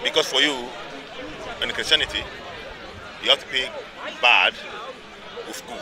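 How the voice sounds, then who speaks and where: A man's voice: mid-range voice, a little slow. A man, arguing insistently in a heated street debate and repeating himself to hold the floor. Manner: insistent, repeats "listen" over and over. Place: outdoor public speaking area. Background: crowd of onlookers.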